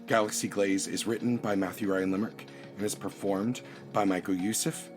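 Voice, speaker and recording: A man's voice: lowish voice, fairly even intonation, brisk. A man reading a scripted introduction and credits as a podcast announcer. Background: prominent music.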